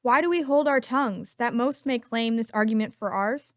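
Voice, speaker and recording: A woman's voice: a little high, normal speed, dry recording, clean studio sound, natural voice. A woman playing a wary, bereaved young prince with a guarded manner in an amateur Shakespeare reading.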